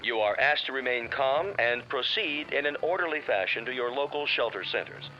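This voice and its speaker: A man's voice: medium pitch, animated, normal speed. An American actor playing a formal, grave male announcer reading an emergency bulletin through a radio filter in a drama. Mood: grave, official. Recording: dull, noisy old radio broadcast.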